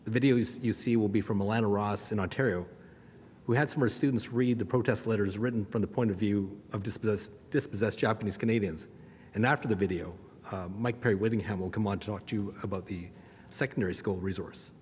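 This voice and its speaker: Man giving steady prepared remarks, lowish voice, long reverb tail, faint hiss behind. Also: fast. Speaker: education project presenter. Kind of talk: prepared remarks at an exhibit opening.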